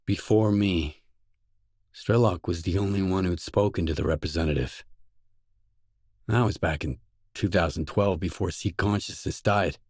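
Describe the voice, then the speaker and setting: A man's voice: sits low, moderate pace, pauses often, a little mellow, breathy edge, no room sound, studio quality. A man playing a guarded, determined post-apocalyptic stalker in a video game, speaking in a terse, probing, matter-of-fact way. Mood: serious, intent. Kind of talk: isolated video game dialogue lines.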